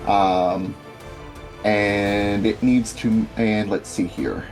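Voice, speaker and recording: Guy at a dnd table, kinda deep, takes his time, mutters and hesitates while describing his moves. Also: fairly even intonation, extremely slow, a little mellow, soft background music. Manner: hesitant, muttering to himself as he works things out. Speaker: player in a tabletop roleplaying game. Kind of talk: casual game-table narration.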